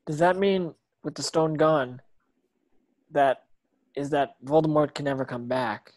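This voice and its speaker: A man's voice: a little high, a little slow, a little mellow, no echo. A man plays a brave, curious boy wizard in a fantasy script read. The voice is earnest and questioning, recorded close and dry with hardly any room sound. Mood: puzzled. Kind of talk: table read of a fantasy screenplay.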